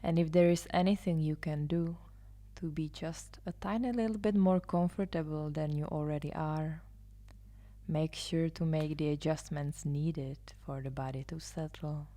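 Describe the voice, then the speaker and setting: A woman leading a guided meditation as a yoga nidra guide, soothing and hushed, often trailing into a near-whisper. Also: deep voice, fairly even intonation, normal speed. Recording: live instrumental music behind the voice. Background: soft live instrumental music.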